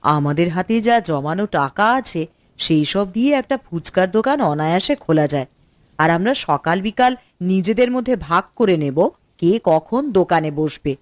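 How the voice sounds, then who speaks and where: A woman's voice: lowish voice, animated, normal speed, dry recording, lightly acted. Woman playing an earnest eldest sister, close dry sound. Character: responsible, earnest eldest sister leading her siblings. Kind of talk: Bengali cartoon story acting.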